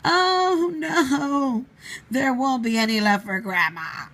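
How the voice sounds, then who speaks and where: A woman playing a polite, inquisitive young girl in a storybook reading, with an expressive, questioning manner. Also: sits high, slow, full character voice. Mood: indignant.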